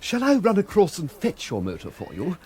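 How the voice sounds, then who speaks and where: An actor playing a fussy, timid middle-aged bachelor, hesitant and eager to please, with an upper-class English accent in a comic radio drama. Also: male voice, high-pitched, huge pitch swings, a little fast, a little mellow, slightly rough, exaggerated voice. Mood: eager, deferential. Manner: fluttery, hesitant, deferential.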